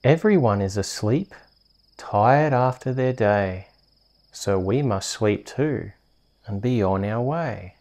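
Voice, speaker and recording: An Australian man with a mellow, slightly creaky voice reads a bedtime story for ASMR. His delivery is slow, soft and soothing, as a host settling listeners down for sleep. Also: lowish voice, expressive. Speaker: host reading bedtime stories on a video channel.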